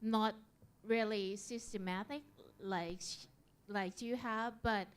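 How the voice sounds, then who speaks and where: A woman stands up in the audience to share her experience and speaks slowly in a smooth, clear voice. She halts often and fills the gaps with uhs. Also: medium pitch, steady tone. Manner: hesitant, halting, many uhs. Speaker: audience member, museum worker. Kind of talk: question from the floor.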